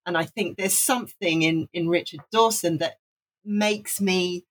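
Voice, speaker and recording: Husky-voiced Welsh-born woman. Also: on the low side, measured pace. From Wales. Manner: enthusiastic, rambling with restarts. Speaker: podcast co-host. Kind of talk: casual music chat.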